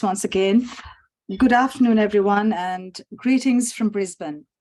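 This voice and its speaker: Sri lady in her middle years giving a formal talk on a video call, calm and measured, mid voice. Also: medium pitch. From Sri Lanka. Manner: measured, formal, clears throat often. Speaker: summit presenter. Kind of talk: prepared formal presentation.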